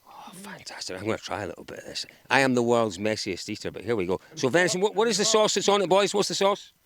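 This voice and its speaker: A Scottish man presenting outdoors at a country market. He jokes easily and gushes about everything he sees. His voice sits at an ordinary middle pitch for a man. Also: sing-song, quick pace, fairly bright tone. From Scotland. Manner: jovial, joking, enthusiastic. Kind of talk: chatty on-location presenting.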